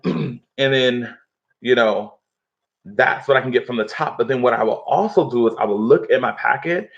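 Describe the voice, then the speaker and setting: A man teaching a live online reading lesson from his living room, speaking instructively and often checking in with his pupils. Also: medium pitch. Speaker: schoolteacher. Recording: dull, lo-fi livestream audio.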